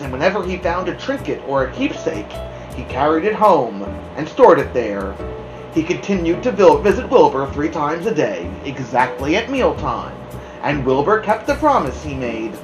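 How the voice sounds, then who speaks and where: A woman reads a children's story with expressive delivery. Her voice is low-pitched but moves widely in melody, recorded in a roomy, echoing space. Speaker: storybook reader, podcast host. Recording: roomy, echoing room sound.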